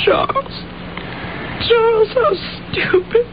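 An actress playing a timid, anxious, lonely wife who hesitates and stammers, in old-time radio drama style. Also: female voice, very high-pitched, takes it slowly. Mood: dismayed. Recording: muffled, noisy old radio broadcast.

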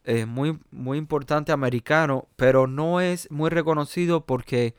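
Male jazz pianist, Cuban accent, resonant voice, halting conversational delivery. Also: fairly high pitch, clean tone, dry recording, clean studio sound. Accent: Cuban Spanish. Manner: hesitant, many fillers and restarts. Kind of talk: conversational interview.

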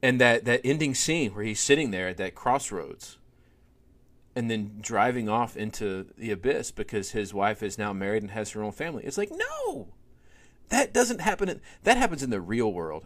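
A middle-aged man and podcast host, telling stories in a relaxed conversational way, with lots of fillers and animated swings in emphasis. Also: mid-range voice, normal speed. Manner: animated storyteller, many fillers.

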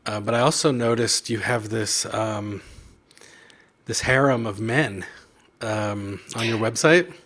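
A man's voice: lowish voice, slow pace, a bit bright. A man hosting a casual chat show, talking in a loose, self-deprecating, joking way. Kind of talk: casual video chat interview.

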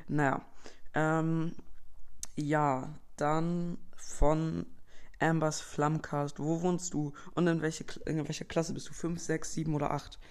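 A man's voice: fairly high pitch, level tone, takes it slowly. A young teenage boy from Berlin hosting his own podcast, casually reading out and answering listener questions with lots of fillers and slang. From Berlin, Germany. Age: young teen boy, entering eighth grade. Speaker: podcast host.

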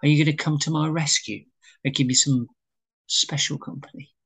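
A man's voice: on the high side, fairly even intonation, moderate pace, fairly bright tone, breathy edge. A man playing a hopeful, sheepish suitor in acted drama dialogue, hesitant and wheedling. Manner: hesitant, wheedling, easily flustered. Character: hopeful, sheepish suitor pleading with his lover. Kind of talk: amateur script read-through, acted dialogue.

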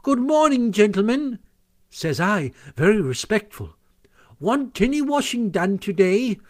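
Bloke doing a little mole from a storybook, high chirpy voice, eager and pretty pleased with himself. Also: male voice, sing-song, a little slow, a little mellow. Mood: gleeful, pleased. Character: chirpy, boastful, excitable small male mole.

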